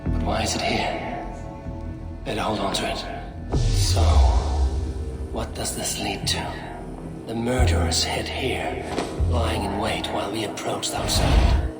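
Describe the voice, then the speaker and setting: A man playing a brooding warrior who muses deliberately to himself while investigating, in a video game. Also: fairly low pitch, steady tone. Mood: pondering. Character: brooding adult warrior investigating a murder scene. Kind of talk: video game cutscene acting, musing aloud. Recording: roomy echo, loud music behind.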